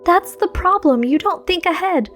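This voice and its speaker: A woman's voice: extremely high pitch, lively intonation, normal speed, fairly bright tone, faint music behind, pitched above the performer's own voice. A woman voicing a stubborn, protective teenage heroine in story dialogue, emphatic and argumentative. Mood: indignant.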